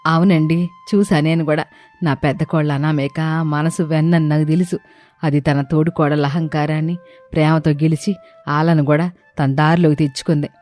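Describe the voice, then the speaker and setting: A woman's voice: low voice, flat delivery, moderate pace, darkish tone, pitched below the performer's own voice, natural voice. Approving older mother-in-law played by a woman, storybook family drama, music behind the voice. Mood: proud, pleased.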